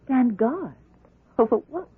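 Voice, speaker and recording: An actress playing an inquisitive, composed woman doctor concerned for her sister, with an expressive, probing delivery in a radio drama. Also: on the high side, slow pace, husky, exaggerated voice. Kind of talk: old-time radio drama acting. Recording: muffled vintage radio recording.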